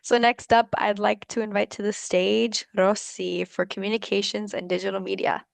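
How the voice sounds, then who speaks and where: Gravelly-voiced woman hosting an event. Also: medium pitch, little pitch movement, normal speed, no echo. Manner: upbeat, thanks speakers and calls up the next. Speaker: event host.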